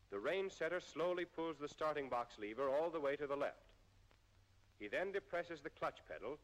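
An American man reading a scripted 1940s military training film narration in a formal, measured way with precise enunciation. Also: medium pitch, fairly bright tone. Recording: 1940s film soundtrack, long reverb.

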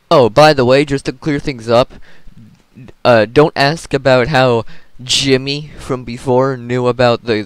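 A man voicing a crude, excitable character in a comedic video game parody, often exclaiming. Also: medium pitch, smooth, dry recording, natural voice. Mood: confiding.

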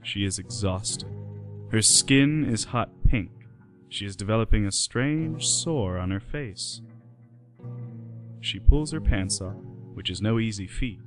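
Low-voiced man narrating. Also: animated, slow, faint music behind. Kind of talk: scripted radio play narration.

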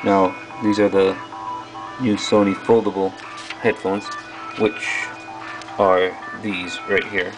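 A man giving a casual hands-on product review, speaking haltingly in short pieces as he handles things. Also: sits low, flat delivery, music in the background, poor audio. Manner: halting, piecemeal delivery while handling items. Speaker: video host reviewing old electronics. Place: small indoor workroom at a bench.

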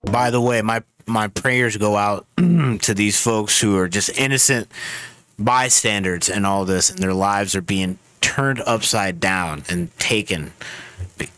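A man's voice: lowish voice, a little slow, fairly bright tone, no echo. A man speaking casually and in slang as a streamer reacting to the news. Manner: slangy, conversational.

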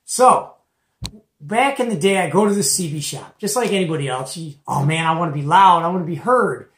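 A man's voice: fairly high pitch, expressive, brisk, fairly bright tone, slight background noise. A middle-aged man with an American Midwestern accent tells a story casually and animatedly, tossing in slangy asides and questions to his listener. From Detroit area, United States. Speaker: host of a CB radio show-and-tell. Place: small cluttered indoor radio room. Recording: echoey room, mic at a distance.

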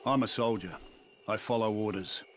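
An actor voicing an earnest, duty-bound army colonel in a video game, measured and insistent. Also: male voice, on the low side, natural voice. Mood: earnest, pleading. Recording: outdoor insects and background noise.

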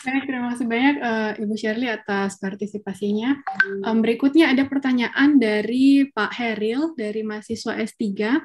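A woman's voice: fairly high pitch, fairly even intonation, normal speed, noisy background. A woman in her thirties moderating an online webinar in a polite, formal style on a video call.

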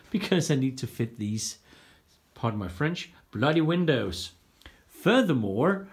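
Exasperated man filming his workshop. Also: fairly high pitch. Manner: frank, self-deprecating, a bit exasperated. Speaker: home cockpit builder. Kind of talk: casual walkthrough vlog. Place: home workshop room. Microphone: handheld phone camera.

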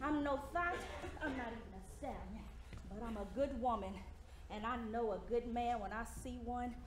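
A woman's voice: a little high, moderate pace, natural voice. An actress playing an earnest, smitten woman in a live stage romantic comedy, speaking in a theatrical, insistent way. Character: earnest smitten woman, pushy rival in love. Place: theater stage, picked up from a distance. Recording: echoey, very noisy theater with audience.

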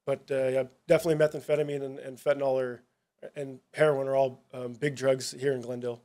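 A man, a police narcotics officer, giving a casual, halting presentation at a town hall, with many fillers and restarts. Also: medium pitch, flat delivery, a little mellow.

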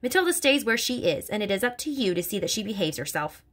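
A woman's voice: mid-range voice, very animated, fast talker, a bit bright. A woman reading aloud voices a bullying, hulking headmistress who barks, snorts and speaks with open contempt. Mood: bellowing scorn.

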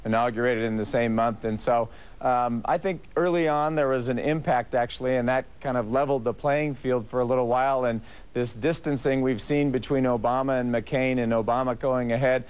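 A middle-aged man speaking calmly and measuredly from a remote studio. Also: medium pitch, brisk, clean tone. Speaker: governor, remote guest. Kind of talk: political commentary.

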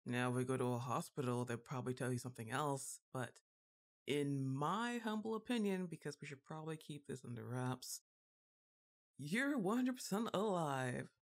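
A woman's voice: rumbling low, lively intonation, moderate pace. A woman playing a goth giantess witch girlfriend in an ASMR roleplay, exasperated but fond, with a casual, wry, hesitant delivery. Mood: reassuring, unsure.

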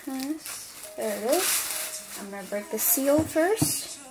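A woman chatting casually while unboxing an album at her desk, rambling with lots of fillers and her voice going hoarse. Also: sits high, animated, slow pace, music in the background, rough recording. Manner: rambling, many fillers and restarts. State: losing her voice. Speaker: unboxing vlogger.

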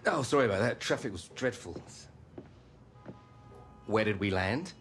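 A man's voice: fairly low pitch, lively intonation, a little slow, soft background music. A man playing a slick, pushy middle-aged football agent in a video game. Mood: apologetic. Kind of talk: video game cutscene dialogue.

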